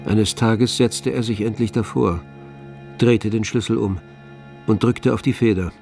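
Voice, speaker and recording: A man's voice: lowish voice, fairly even intonation, a little slow, creaky, a little mellow, faint music behind. A man narrating a literary radio drama from a script, as the storyteller.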